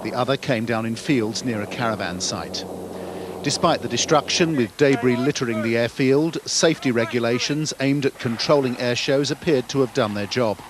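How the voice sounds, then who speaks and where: A man's voice: mid-range voice, normal speed. A British man narrating a scripted television news report as a reporter. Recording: archive TV report, noisy outdoor ambience.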